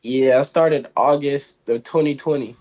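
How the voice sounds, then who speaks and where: A man's voice: mid-range voice, fairly even intonation, a little slow, a little mellow. A young man in his twenties from Mississippi with a light voice, talking in a rambling way as a wrestler being interviewed. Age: 20s. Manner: rambling, many fillers and restarts. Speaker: guest, pro wrestler. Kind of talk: casual interview.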